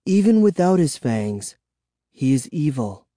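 A male reader acts out a scared, urgent child in a spooky storybook. The voice jumps up high and stays soft-edged, anxious in its delivery. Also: sing-song, measured pace, no echo, clean studio sound. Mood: fearful, despairing. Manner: urgent, tense exclamations. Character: anxious, frightened child narrator in a vampire tale.

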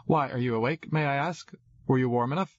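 A man's voice: mid-range voice, a little fast, voice pushed up, lightly acted. A man voicing a strict, proper mother in an audiobook, scolding and full of questions. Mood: stern, suspicious. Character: strict, fussing middle-class mother of a young girl. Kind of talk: audiobook character dialogue. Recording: dull, roomy, echoey recording.

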